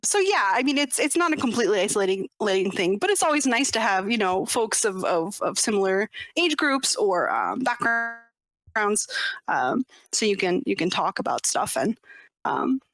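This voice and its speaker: A woman in her thirties at a mid-range pitch, chatting as an interview guest. She hesitates, restarts sentences and laughs often. The sound is dry and close on a remote call mic. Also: very animated, normal speed, a bit bright, no room sound. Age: 30s. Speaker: interview guest, webcomic creator. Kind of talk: casual interview chat.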